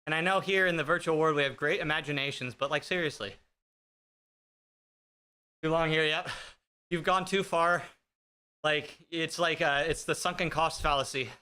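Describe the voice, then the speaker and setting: Thirties male streamer, a bit high and bright, joking casually with chat over a desk mic. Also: steady tone, normal speed, long pauses, clear, resonant tone. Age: 30s. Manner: joking, self-deprecating, chatty; talks while riding an indoor bike. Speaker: fitness streamer. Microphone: streaming desk mic with noise gate.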